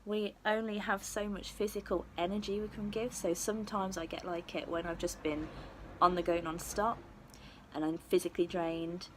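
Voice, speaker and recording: A young woman who is losing her voice, husky, talking candidly to camera with lots of ums. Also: on the low side, flat delivery, normal speed, a little hiss or hum. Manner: candid, rambling with many ums. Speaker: beauty vlogger. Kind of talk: casual talk to camera.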